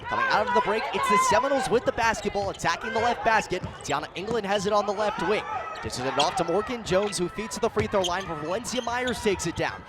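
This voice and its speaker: A man's voice: sits high, lively intonation, fast talker. An American man doing live radio sports play-by-play in emphatic, clipped phrases. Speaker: play-by-play commentator.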